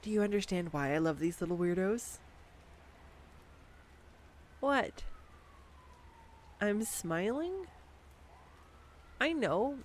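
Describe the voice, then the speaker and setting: Slow, bubbly woman gushing over facts. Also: medium pitch, a little hiss or hum. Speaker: audio roleplay voice actress. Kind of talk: scripted girlfriend roleplay monologue. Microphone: studio condenser mic.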